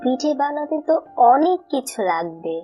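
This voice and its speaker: A woman's voice: high-pitched, sing-song, normal speed, dark tone. A woman voicing a sweet, homely little bird wife in a children's folk-tale cartoon, on a dull lo-fi recording. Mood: explaining. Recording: dull lo-fi sound with music.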